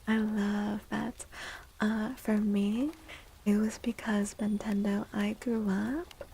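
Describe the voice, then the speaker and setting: A soft-spoken woman hosting an ASMR livestream, gentle and unhurried, with a breathy, often whispered voice. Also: mid-range voice, little pitch movement, slight background noise. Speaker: livestream host and tarot reader.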